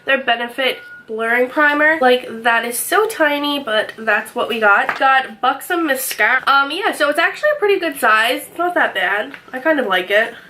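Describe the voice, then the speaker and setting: A young woman vlogging from her bedroom, chatty and upbeat, her voice a little raspy from a cold. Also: sits high, expressive, moderate pace, hardly any pauses, sharp tone, slight background noise, low-quality recording. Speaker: beauty vlogger. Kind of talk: casual unboxing chat. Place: bedroom, indoors.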